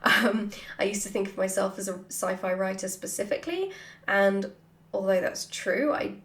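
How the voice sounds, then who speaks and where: A woman chatting casually and playfully as she answers questions from her followers, with an animated manner. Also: medium pitch, moderate pace. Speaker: writer hosting a Q&A. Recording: roomy, echoey sound.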